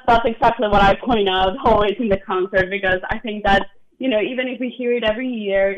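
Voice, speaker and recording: Enthusiastic woman, slightly dull sound. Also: medium pitch. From Argentina. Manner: enthusiastic, chatty, laughs often. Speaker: associate conductor, guest. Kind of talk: broadcast interview.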